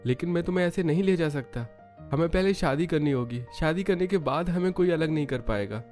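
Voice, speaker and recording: A man's voice: fairly high pitch, a little fast, darkish tone, faint music behind, close to an everyday voice. A man acting an earnest, protective young man on the run in a dramatized story, with urgent melodrama.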